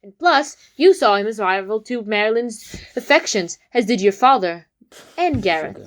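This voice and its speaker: Woman acting a high-voiced confident young detective. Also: expressive, moderate pace, close to an everyday voice. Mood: confident, accusing. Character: confident young detective laying out a murder case. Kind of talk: amateur comedy whodunnit acting.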